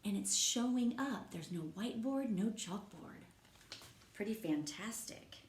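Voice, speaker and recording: A woman teacher reading a picture book aloud to young children, stopping to ask gentle, encouraging questions. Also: medium pitch, animated, measured pace, a little hiss or hum. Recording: echoey room.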